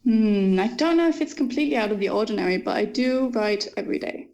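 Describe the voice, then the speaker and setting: A young Danish woman speaks in English as an author being interviewed, with a middle-pitched voice. She thinks aloud, pausing with small ums, and laughs easily between thoughts. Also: dark tone, poor audio. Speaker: author guest. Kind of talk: interview conversation.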